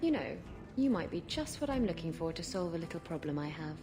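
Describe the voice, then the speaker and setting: A flirtatious female pirate captain from a fantasy game. Her voice sits on the low side, sultry and brazen, teasing as she talks. Music runs behind it. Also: sing-song, brisk. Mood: teasing, bold.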